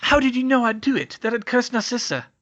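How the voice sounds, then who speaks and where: Man voicing a high, strained young witch. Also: animated, brisk, fairly bright tone, highs cut off. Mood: soft, questioning. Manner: strained, wheezing, commanding. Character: brave, earnest teenage witch, urgent and battle-worn.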